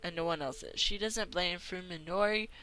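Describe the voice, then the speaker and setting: A woman reading visual novel text aloud as an amateur video maker, halting and stumbling over names and words. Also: lowish voice, over a noisy crowd, low-quality recording. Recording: music playing behind the voice. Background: game music.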